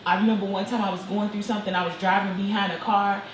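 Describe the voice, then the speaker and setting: A woman's voice: medium pitch, little pitch movement, fast. Earnest woman in her forties. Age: 40s. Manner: earnest and reflective, laughs easily. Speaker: guest. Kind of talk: casual chat. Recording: echoey room with background noise.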